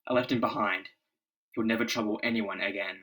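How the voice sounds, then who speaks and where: A man's voice: lowish voice, steady tone, fairly quick, a bit bright, recorded from a distance, low-quality recording. A man voicing an earnest, heroic comic-book superhero with bold, declamatory delivery. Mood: solemn. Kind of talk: dramatic motion-comic voice acting.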